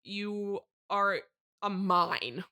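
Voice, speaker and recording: An actress playing an abrasive, sardonic middle-aged horror writer in a comedy mock interview, blunt, deadpan and needling. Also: female voice, medium pitch, drawn-out words, clear, resonant tone, exaggerated voice. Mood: sinisterly pushy. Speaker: interviewed guest.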